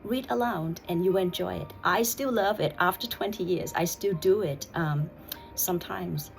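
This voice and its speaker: Thai lady vlogging, low voice, slow and careful, bit whispery, faint music behind. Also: vocal fry. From Thailand. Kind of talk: personal vlog with read-aloud passage.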